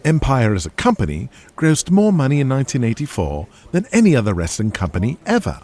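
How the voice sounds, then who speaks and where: A man's voice: mid-range voice, huge pitch swings, brisk, darkish tone. A man reading scripted dramatic narration for an audio drama.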